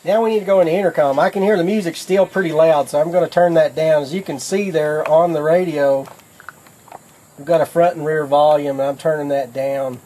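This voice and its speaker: A man with a slightly high voice walks through a how-to demonstration. His delivery is casual, folksy and plain-spoken, explaining each step simply. There is some faint noise behind him on the recording. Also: fairly even intonation, a little fast, mellow. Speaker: product demonstrator.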